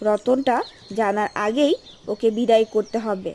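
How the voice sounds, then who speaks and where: A woman voicing a bossy, sharp-tongued, scheming aunt and mother in a Bengali cartoon. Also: on the high side, measured pace. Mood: doting, scheming. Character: shrill, scolding, scheming aunt and doting mother. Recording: music behind the voice.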